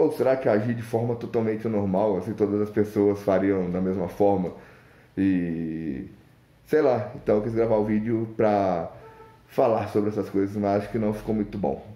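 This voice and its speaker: Mellow-voiced mineiro man musing aloud. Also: lowish voice, reverberant. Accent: Mineiro Brazilian Portuguese. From Minas Gerais, Brazil. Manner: hesitant, thinking aloud with many restarts and fillers. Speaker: vlogger. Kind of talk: rambling casual monologue.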